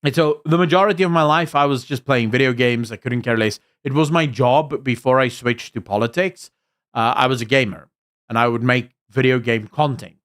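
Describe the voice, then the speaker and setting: A young adult man from South Africa whose Afrikaans accent has been smoothed by American and British influences. He hosts a casual live stream, reading chat messages aloud. His opinions are emphatic, with frequent fillers and restarts. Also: mid-range voice, normal speed, fairly bright tone. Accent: Afrikaans South African, mixed with American and British. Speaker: livestream host reading chat.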